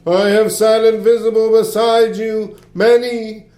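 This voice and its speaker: An actor playing a mournful, foreboding dead businessman's ghost in a radio play, with drawn-out, wailing delivery. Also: high-pitched, little pitch movement, warm tone. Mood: solemn warning. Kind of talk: stage-style radio play acting. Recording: echoing voice over faint music.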